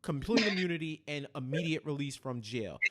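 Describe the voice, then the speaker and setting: A man with a slightly high voice talks casually as a podcast co-host, going over a show in recap. His delivery is halting, and he circles back to repeat phrases while he works out the thought. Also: expressive, a little hiss or hum.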